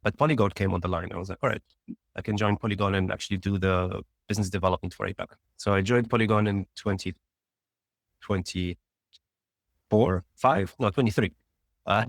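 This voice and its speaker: A man from Tunisia, a startup founder, telling his career story as a guest in a casual interview, rambling quickly with restarts. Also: fairly low pitch, fairly even intonation, no echo, studio quality.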